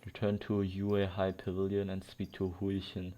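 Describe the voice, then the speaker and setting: A man's voice: low-pitched, completely flat delivery, moderate pace, warm tone, muffled recording. A man playing a story game and tossing out dry, sarcastic comments while reading quest text. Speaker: gamer playing through. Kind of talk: casual gameplay commentary. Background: game music.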